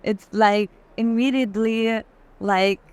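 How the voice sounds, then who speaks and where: A woman in casual, reflective conversation about art, speaking haltingly with plenty of filler "like". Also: fairly high pitch, fairly even intonation, darkish tone. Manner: halting, frequent use of "like". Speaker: curator in conversation. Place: art fair. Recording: noisy fair hall ambience.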